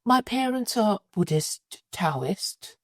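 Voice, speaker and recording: A woman's voice: mid-range voice, big pitch swings, takes it slowly, no room sound, studio quality. A woman in her sixties from Malaysia, a podcast guest answering hesitantly with many fillers and repeated words. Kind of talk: casual interview answers.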